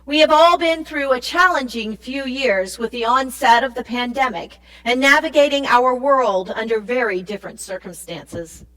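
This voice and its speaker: A woman reading a scripted church summary in a measured way, as a narrator. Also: sits high, fairly bright tone, rough recording. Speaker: narrator, church project team member. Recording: music playing behind the voice. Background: music.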